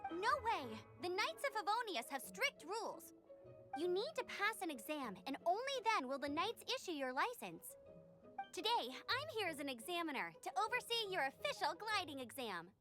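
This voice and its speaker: Perky, high-voiced young knight. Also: female voice, big pitch swings, moderate pace, music in the background. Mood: firm, official. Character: perky young female knight examiner, brisk and proudly by-the-book. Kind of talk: video game character dialogue.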